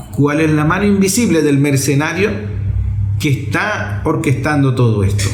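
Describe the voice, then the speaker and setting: A man with a fairly high voice speaks in a very roomy space. He gives political commentary as an impassioned monologue. His delivery is emphatic and blunt, built on repetition and pointed rhetorical questions. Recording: roomy, echoing sound.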